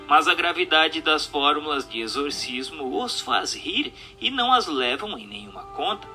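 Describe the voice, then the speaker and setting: A Brazilian man narrates a book over faint music. His voice is very sharp and bright, with lively swings in intonation. The delivery is scripted, formal and emphatic. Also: on the high side, moderate pace.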